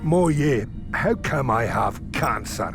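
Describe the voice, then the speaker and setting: A man's voice: medium pitch, animated, slow pace, music in the background. A man playing a blunt, sardonic marine sergeant, plain-spoken and wry, in a science fiction audio drama. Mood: weary, sardonic.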